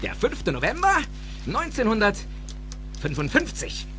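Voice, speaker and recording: Excitable old scientist, high voice swooping all over, theatrical radio play, music behind. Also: male voice, slow pace, exaggerated voice. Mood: instructive, reminiscing. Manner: theatrical, emphatic, exclamatory, precise technical phrasing. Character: excitable eccentric older male scientist and inventor. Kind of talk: German audio drama dialogue.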